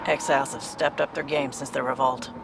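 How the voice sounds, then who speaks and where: A man's voice: medium pitch, level tone, brisk, gravelly, very noisy, lightly acted. A man playing an alert squadmate in a space crew in a video game. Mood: observant. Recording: loud vehicle and outdoor ambience.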